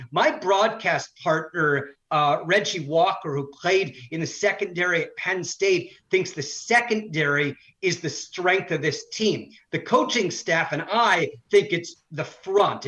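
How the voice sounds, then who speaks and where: A man's voice: high-pitched, a bit bright, dull audio. A man who works as a sports broadcaster, giving conversational analysis as an interview guest.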